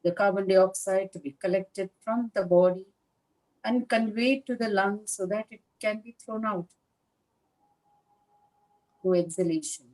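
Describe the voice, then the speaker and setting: A woman leading a guided meditation as a teacher, speaking in a calm, measured manner with long pauses between short phrases. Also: mid-range voice, low-quality recording. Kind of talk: guided meditation instruction, live session.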